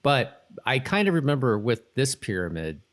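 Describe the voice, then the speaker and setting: A man's voice: mid-range voice, sing-song. A middle-aged man co-hosting a podcast in casual chat, hedging and often correcting himself as he goes. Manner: hedging, halting, self-correcting. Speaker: podcast co-host.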